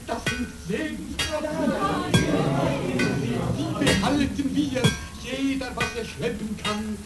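A reverent peasant neighbour. Her voice is high and very drawn out, as if calling to others. She sounds distant in this radio play. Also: slow. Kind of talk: German radio play acting. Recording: echoey, distant, loud music.

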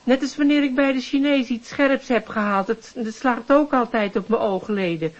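A woman's voice: on the high side, moderate pace, muffled recording, close to an everyday voice. An actress playing a nervous, chatty, prying middle-aged widow in a radio drama, hesitant and repeating her words.

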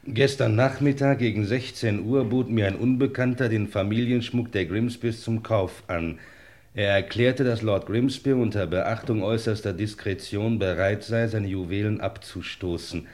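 A man acting a dutiful police inspector in an old crime radio play. His voice is fairly low, and he reads formally in a dry, matter-of-fact, official tone. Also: moderate pace, a little mellow, natural voice. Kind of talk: formal read-out in vintage German radio play.

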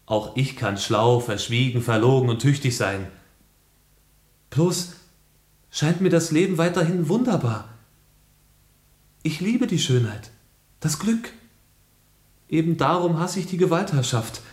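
An actor playing a sensitive, idealistic young revolutionary in a German radio play, speaking earnestly and with passion. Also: male voice, on the high side, lively intonation, measured pace, long pauses, a little mellow, reverberant. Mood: impassioned. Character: idealistic, sensitive young male revolutionary who loves life.

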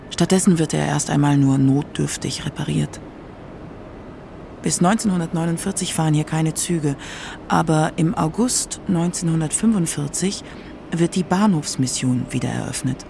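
A man narrating a scripted radio documentary in a measured, solemn manner. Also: on the high side, creaky, darkish tone, slight background noise.

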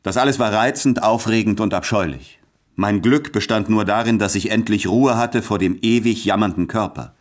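An actor playing a conscientious, troubled civil servant torn by love, in an earnest and deliberate literary radio drama delivery. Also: male voice, on the low side, close to an everyday voice. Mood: bitter reflection.

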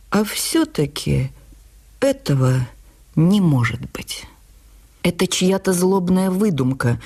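An actress playing an earnest, wounded woman writer, narrating her memoir for radio in an expressive, confiding, deliberate manner. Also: medium pitch, slightly rough, full character voice. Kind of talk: dramatized first-person literary narration for radio.

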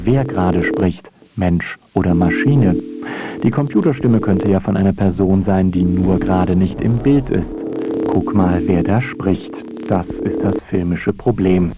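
A man narrates a scripted radio essay in a wry, dryly ironic manner. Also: deep voice.

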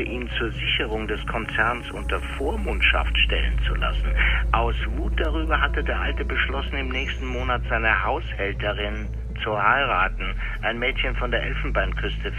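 An actor playing a cautious, exacting police deputy in a radio crime drama, explaining precisely in formal wording. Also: male voice, medium pitch, natural voice, rough recording.

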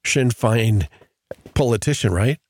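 A man hosting a radio interview program, reading a scripted introduction and then questioning his guest in a measured, earnest way. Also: mid-range voice, animated, slightly rough, dry recording, studio quality. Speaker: radio show host.

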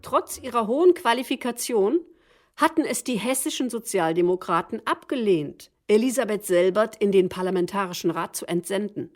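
A woman narrates a documentary radio drama in a calm, explanatory way, her voice at a middle pitch. Faint music sits beneath her. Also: normal speed.

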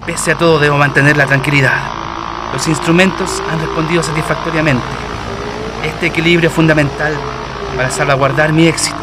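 An actor playing a brave, excitable Chilean pioneer pilot who talks to himself theatrically in a radio drama. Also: male voice, a little high, fairly quick, close to an everyday voice. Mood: steady. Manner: theatrical, exclamatory, talking to himself. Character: Chilean pioneer aviator, brave, excited, anxious but determined. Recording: loud engine-like noise behind the voice.

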